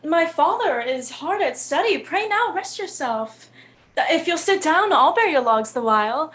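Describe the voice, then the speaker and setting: A woman's voice: high-pitched, animated, unhurried, fairly bright tone. A woman playing an innocent, modest but forthright young maiden in love, in earnest, tender Shakespearean stage acting. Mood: concerned, pleading.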